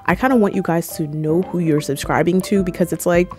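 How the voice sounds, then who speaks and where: A woman's voice: fairly low pitch, a little fast, soft background music. A woman in her twenties from Cameroon, chatting playfully to camera, holding a close mic in a small room. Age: 20s. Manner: playful, animated, jokey with squealed asides. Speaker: YouTuber. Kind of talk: casual chat to camera. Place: small room with red lighting, indoors. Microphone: handheld mic close to the mouth.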